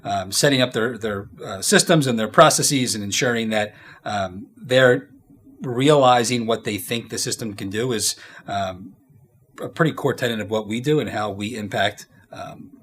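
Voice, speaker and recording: Casual man in his thirties, halting delivery. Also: lowish voice, a bit bright, slightly rough. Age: 30s. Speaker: interview guest, company executive. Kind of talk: casual workplace interview answers.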